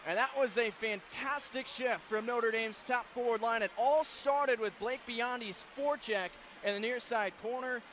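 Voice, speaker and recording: A man calling live hockey play-by-play on the radio in an excitable manner, ready to build into shouted calls. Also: extremely high pitch, normal speed, hardly any pauses. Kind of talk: live sports radio commentary. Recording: live broadcast with clear background noise.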